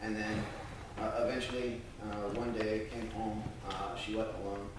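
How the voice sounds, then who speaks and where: An American man speaking earnestly and a little haltingly to a congregation, as a church member sharing testimony and scripture. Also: lowish voice, level tone. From United States. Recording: very echoey room, distant mic, faint noise.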